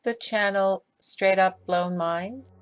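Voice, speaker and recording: A woman's voice: medium pitch, slow. A woman reading a scripted critical commentary as a video essay narrator. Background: music.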